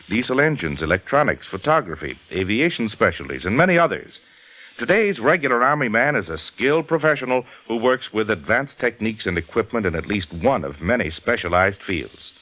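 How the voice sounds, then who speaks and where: A man's voice: fairly low pitch, a bit bright, rough recording. An American man reading a 1940s radio advertisement in an earnest, formal, persuasive way. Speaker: commercial announcer. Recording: vintage 1940s radio broadcast.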